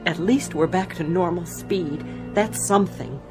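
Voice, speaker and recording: A woman acting in a radio drama as a shaken but controlled senior flight attendant, measured and professional. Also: on the low side, music in the background, natural voice. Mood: tense, controlled.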